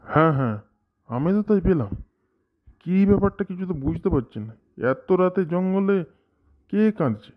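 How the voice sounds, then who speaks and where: A man's voice: high voice, animated, normal speed, pauses often. Man as a tinny, bossy cartoon village fellow. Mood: puzzled, bossy. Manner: colloquial, commanding, repeats short orders. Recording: thin phone-like sound with faint noise.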